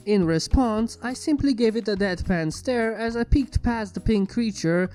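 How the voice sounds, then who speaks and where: A man's voice: high-pitched, mellow. A man narrating a scripted first-person story in a wry, dramatic storytelling manner. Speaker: fan fiction narrator. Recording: background music under the voice. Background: music.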